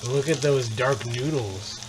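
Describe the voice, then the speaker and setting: Casual male stream host, mid-pitched, chatty and rambling, with frying and sizzling clearly heard behind him. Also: measured pace, background noise. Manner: chatty, rambling, joking asides. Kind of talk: casual live cooking demo. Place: home kitchen.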